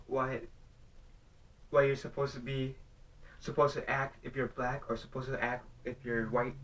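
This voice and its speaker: A young man talking off the cuff into his own recording, halting and earnest as he circles his point, often breaking off and restarting. His voice sits at an ordinary middle pitch. He sounds set back from the microphone, a little distant in the mix. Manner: halting, rambling, earnest questioning. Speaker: vlogger. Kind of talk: unscripted talk to camera. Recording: voice somewhat distant from the mic.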